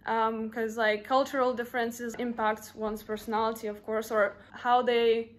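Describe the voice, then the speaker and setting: A woman's voice: on the high side, level tone, moderate pace. Casual young woman. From Lithuania. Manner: casual, filler-heavy. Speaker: interviewee. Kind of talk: casual interview answers.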